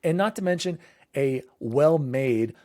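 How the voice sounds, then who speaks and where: A man's voice: mid-range voice, lively intonation, dark tone, no echo. Rambling man on a dry podcast mic. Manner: rambling, restarts often, quick bursts. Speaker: podcast host. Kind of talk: casual gaming podcast chat.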